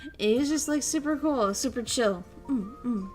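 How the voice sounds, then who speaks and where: A woman's voice: on the high side, moderate pace, darkish tone, faint music behind. A young woman streaming a casual chat, animatedly retelling stories, laughing often and answering her chat. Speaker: VTuber streamer.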